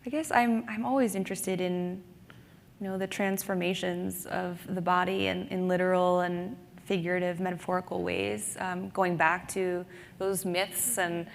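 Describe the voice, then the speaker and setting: A woman speaking on a writers' panel, reflective and halting, with many fillers. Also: lowish voice, little pitch movement, creaky, big-room sound, slight background noise. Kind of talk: panel discussion. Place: conference stage.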